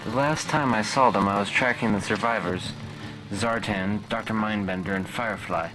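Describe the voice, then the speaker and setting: A man's voice: on the low side, normal speed, music in the background, close to an everyday voice, poor audio. A man playing an earnest military hero in an amateur audio drama, declaiming in a stilted way. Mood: pondering, earnest. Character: earnest military hero puzzling over parallel worlds.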